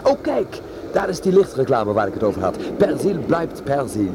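Clear vehicle noise surrounds an actor as an effusive gentleman traveller in a radio play. He is sentimental and chatty, his mid-pitched voice swinging with lively, savoured exclamations. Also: normal speed, natural voice. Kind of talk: radio drama acting. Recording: noisy track with vehicle ambience.

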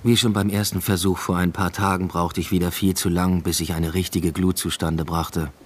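An actor playing a brooding husband and father, narrating a literary radio drama in a measured, introspective way. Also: deep voice, flat delivery, background noise, close to an everyday voice. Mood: reflective.

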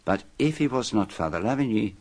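An actor playing a self-assured, methodical detective in a radio drama, speaking formally with dramatic emphasis. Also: male voice, on the low side, animated, a little fast, darkish tone. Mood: grave, revealing. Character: famous male detective, methodical, self-assured, theatrical in revealing a murderer.

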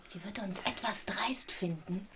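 A woman's voice: mid-range voice, measured pace, noisy background, natural voice. An actress playing an anxious, timid woman who speaks in hesitant whispers in a German radio crime drama. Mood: frightened.

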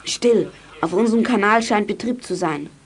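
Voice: medium pitch, moderate pace, husky. A performer playing a decisive, cheeky child who leads young detectives in a children's radio play. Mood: commanding. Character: bossy, self-assured child leader of young detectives.

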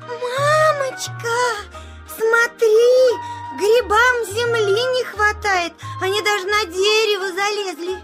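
A woman voicing a fox in a children's forest audio play, with a gentle sing-song delivery. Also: very high-pitched, fairly even intonation, slow, music in the background. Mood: amazed. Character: small fox family member in a children's forest tale.

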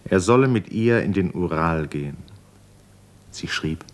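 Male narrator for 1950s literary radio play, low register, serious and measured storytelling. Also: animated.

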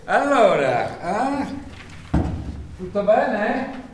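A man's voice: high voice, sing-song, extremely slow, heavily acted. An actor playing a smug male job-agency recruiter, talking in corporate jargon and chuckling, in a live stage play captured from far off with echo and noise. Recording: distant echoey stage with noise.

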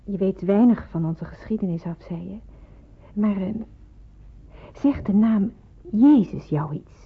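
A woman's voice: mid-range voice, a little slow, husky. A woman acting an earnest, insistent young person in hiding in a wartime radio drama. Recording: muffled, band-limited old radio recording.

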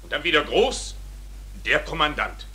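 High, sharp, barking army sergeant. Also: male voice, sing-song, moderate pace. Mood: officious. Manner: curt, barking, by-the-book. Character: brisk, strict male army sergeant, a guard. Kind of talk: 1940s German radio play acting.

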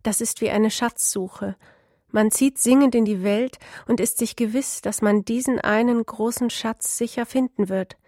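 A woman's voice: a little high, measured pace, mellow, no room sound, clean studio sound. A woman author reading her own literary prose in a narrative style.

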